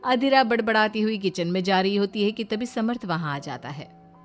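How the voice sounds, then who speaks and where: A woman's voice: medium pitch, animated, moderate pace. A woman reading scripted story narration as the narrator. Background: background music.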